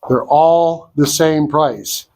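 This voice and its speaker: Congested, slow-talking sawmill host. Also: male voice, a little high, gravelly, a little mellow. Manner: slow, folksy, down-to-earth explaining. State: congested from a cold. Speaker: sawmill owner and channel host. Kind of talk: casual outdoor vlog walkthrough. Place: wood shed at a sawmill.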